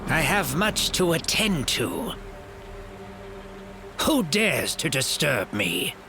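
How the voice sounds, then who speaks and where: Oily evil eunuch from a game, high voice swooping all over, imperious but cowardly. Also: male voice, big pitch swings, slow, vocal fry, background noise, full character voice. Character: corrupt male eunuch villain, imperious yet cowardly, wheedling. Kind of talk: video game cutscene acting.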